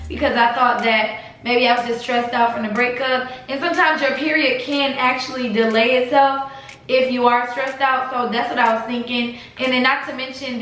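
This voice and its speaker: A woman's voice: a little high, a bit bright, heavy reverb. A young woman vlogger telling a casual storytime in a sleepy late-night voice, chatty and confiding, calling her viewers y'all.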